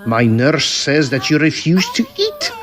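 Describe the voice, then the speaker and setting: A man's voice: fairly high pitch, wildly swooping pitch, measured pace, a little hiss or hum. A man playing a falsely soothing, insistent asylum doctor in old-style radio melodrama, with a condescending theatrical manner. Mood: patronising, firm.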